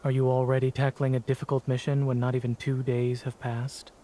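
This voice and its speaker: Game role: man as reserved, cool-headed male, dark mellow tone, calm restraint, faint noise behind. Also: mid-range voice, fairly quick, lightly acted. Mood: dry, questioning. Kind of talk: video game voice acting.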